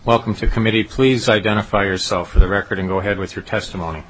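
A man's voice: on the low side, steady tone, quick pace, slight background noise, rough recording. A man chairing a committee hearing, calling testifiers in a formal, procedural way. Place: legislative committee hearing room.

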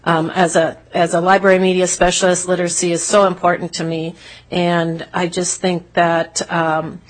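A female state legislator introducing herself at a committee meeting, halting with many fillers. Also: fairly low pitch, level tone, rough recording.

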